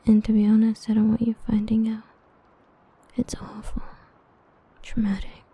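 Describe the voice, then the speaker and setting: A woman playing an obsessive, possessive female villain in an ASMR roleplay, breathy and intimate with menacing devotion. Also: on the high side, monotone, moderate pace, mellow, no room sound. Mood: gentle explaining. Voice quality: breathy, whispery. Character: obsessive yandere female villain, tenderly possessive, murderously protective.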